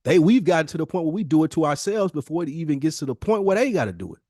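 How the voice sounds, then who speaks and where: A man's voice: a little high, sing-song, racing, a little mellow, dry recording, clean studio sound. A man giving his opinions in an emphatic, slangy and profane casual conversation, often repeating phrases to drive a point home. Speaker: podcast speaker.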